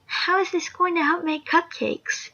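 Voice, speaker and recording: A woman voicing a timid, gentle pony mare, speaking softly in a high, fearful voice, on a dull lo-fi recording. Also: steady tone, unhurried, exaggerated voice. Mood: frightened.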